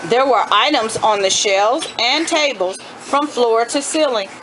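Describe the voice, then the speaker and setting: A woman's voice: a little high, a little slow, background noise. A middle-aged woman, a homeschooling mother, reading a children's book aloud and talking it over with her young children. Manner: asks children questions, explains asides.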